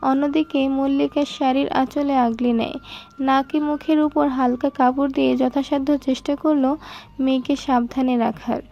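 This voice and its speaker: A woman's voice: high-pitched, flat delivery, moderate pace, gravelly, dark tone, highs cut off. A woman narrating a scripted romantic story.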